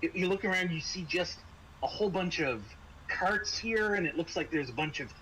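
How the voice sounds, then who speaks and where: Man, higher-pitched voice, unhurried halting delivery, tabletop game master narration, slightly noisy online call. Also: sharp tone. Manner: halting, searching for words.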